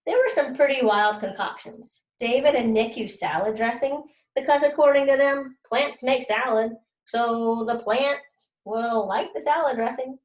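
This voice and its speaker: A mischievous, cocky schoolboy tells his story as read by a woman in a boastful, informal way. The pitch is on the high side, and the room is very echoey. It has the feel of a children's book read aloud. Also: natural voice. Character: cocky troublemaking schoolboy narrator, mischievous and dismissive.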